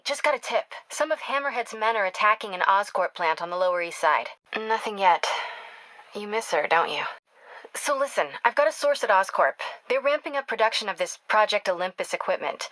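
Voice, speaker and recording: Young female reporter played by a woman for a video game: bright, forward voice, close dry sound, earnest and wry, natural phone-call delivery. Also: medium pitch, no room sound, close to an everyday voice. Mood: brisk, affectionate. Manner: conversational, earnest, confiding.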